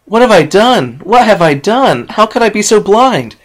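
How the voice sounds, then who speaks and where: Guy doing a loud brash pony girl, young tomboy filly, high strained voice, storybook reading. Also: big pitch swings, normal speed. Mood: despairing.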